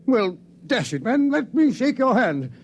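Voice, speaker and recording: A gallant old-school colonel for 1950s radio. He blusters in a high, swooping voice full of military exclamation, with faint hiss on the old recording. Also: sing-song, a little slow, full character voice. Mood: stirred, gallant. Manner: blustery, stiff-upper-lip military exclamations. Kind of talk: 1950s radio drama acting.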